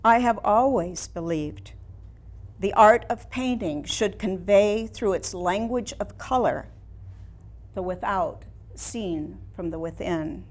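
A woman in her sixties playing a proud, wistful woman painter in a theatrical, deliberate staged reading. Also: mid-range voice, darkish tone. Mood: wistful, reflective. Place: small room in a house before a seated audience.

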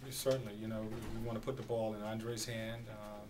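Low, flat-voiced coach at a podium. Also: male voice. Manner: measured, halting, many fillers. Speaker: basketball head coach. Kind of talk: postgame press conference. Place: small press room at a podium. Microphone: podium microphone on a stand. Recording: distant camera audio, noisy room.

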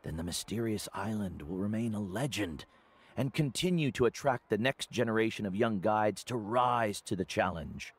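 An actor playing a kindly middle-aged uncle and veteran guide in a video game, measured and earnest. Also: male voice, on the low side, expressive, husky. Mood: solemn. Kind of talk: video game character acting.

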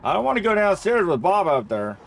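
A man giving casual let's-play commentary, thinking out loud and repeating himself as he goes. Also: sits high, big pitch swings, a little fast, vocal fry, faint music behind. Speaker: gamer playing and commenting on a horror game.

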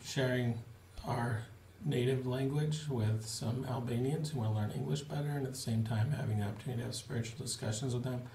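A man in his forties giving a relaxed, plain-spoken video update to supporters from a small home office, with frequent fillers. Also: medium pitch, fairly quick, a little hiss or hum. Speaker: missionary giving a family update. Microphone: webcam at desk. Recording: roomy webcam audio with faint ambience.

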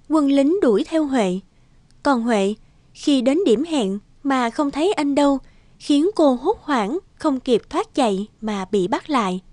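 A woman giving scripted story narration for an animated tale. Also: high-pitched, no echo.

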